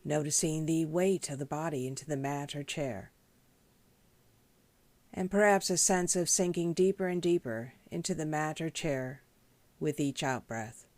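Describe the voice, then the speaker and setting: A woman leading a guided meditation as a teacher, speaking in a gentle, measured, instructional way. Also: deep voice, no echo. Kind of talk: guided body scan meditation.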